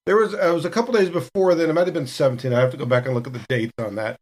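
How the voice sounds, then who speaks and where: A man's voice: a little high, fairly even intonation, racing, darkish tone. A man chatting casually as a podcast cast member, telling stories in a rambling way. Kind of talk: casual retrospective chat.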